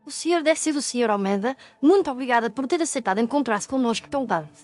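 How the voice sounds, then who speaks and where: Actress as curious young ghost hunter lady, european portuguese, talks fast, earnest, bit of music behind her. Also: a little high, lively intonation, dry recording, lightly acted. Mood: earnest, polite. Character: determined, curious young woman ghost-story podcaster. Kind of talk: audio ghost story acting.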